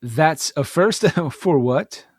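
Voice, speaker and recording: Guy actor as an awkward space officer in a radio play, highish voice, jokey and hesitant. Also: expressive, slow pace. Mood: amused, hesitant. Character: awkward, joking male space station officer. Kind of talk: audio drama acting.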